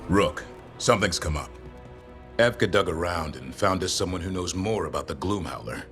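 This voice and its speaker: Steady practical warrior, really low voice, matter of fact, game dialogue, faint music. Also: male voice, moderate pace. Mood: businesslike. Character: steady, pragmatic male warrior warden.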